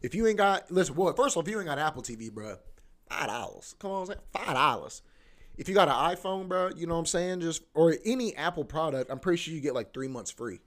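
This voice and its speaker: A man's voice: on the high side, animated, quick pace. A young man hosting a solo podcast, rambling in a laid-back slangy way and saying "bro" and "you know what I mean" all the time. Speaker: podcast host; comedy show host.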